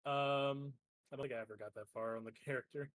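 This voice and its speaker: A man with a mid-pitched voice takes part in a tabletop game as a player. He talks casually, hesitant and questioning. The sound is dry and close. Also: fairly even intonation, a little fast, fairly bright tone, no room sound.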